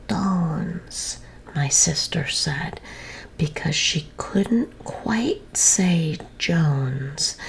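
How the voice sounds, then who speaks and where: A woman reads a children's story in a soft, slow whisper, lingering over every phrase. Her voice sits low and carries a strong gravelly texture. A faint hiss of noise lies underneath.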